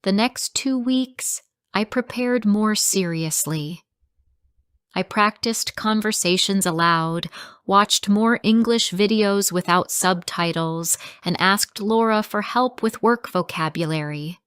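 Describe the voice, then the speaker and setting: A woman reading a simple first-person story for English learners, in slow, clearly spoken sentences. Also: mid-range voice, a bit bright, no room sound, clean studio sound.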